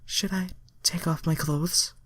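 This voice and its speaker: A young alien superheroine played by a man speaks in a high, breathy falsetto. She is adoring, grateful and eager, gushing dramatically as in a fan fiction reading. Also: steady tone, measured pace, fairly bright tone, no echo, clean studio sound.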